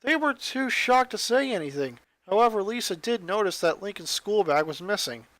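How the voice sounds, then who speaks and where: High-voiced man narrating a story. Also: lively intonation, moderate pace, fairly bright tone, no echo. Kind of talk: scripted story narration, fan fiction reading.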